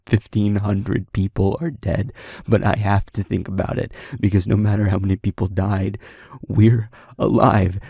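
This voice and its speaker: A man playing a guilt-ridden werewolf pack leader confiding in a partner in an intimate audio drama, with a halting, heavy, emotionally raw delivery. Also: lowish voice, creaky, warm tone, slightly rough, no room sound, studio quality, heavily acted.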